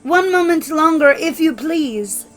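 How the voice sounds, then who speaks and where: A woman's voice: sits high, expressive, unhurried, fairly bright tone, soft background music, voice pushed up. A woman voicing a desperate young wife in a fairy tale, imploring and dramatic. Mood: desperate pleading. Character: desperate, pleading young wife in mortal fear.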